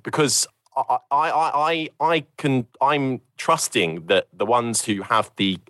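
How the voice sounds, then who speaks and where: A man hosts a casual podcast debate in a fairly low voice. He sounds close and dry, and he often stammers and restarts while working out his argument. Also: fairly bright tone, dry recording. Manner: hesitant, stammering as he reasons. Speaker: podcast host.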